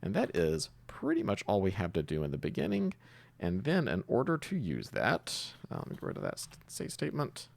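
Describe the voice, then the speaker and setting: Very deep-voiced man giving a coding tutorial. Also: wildly swooping pitch, fairly quick, creaky, no room sound. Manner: informal, thinking aloud step by step. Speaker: programming tutorial host. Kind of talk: casual screencast coding tutorial, explaining while typing.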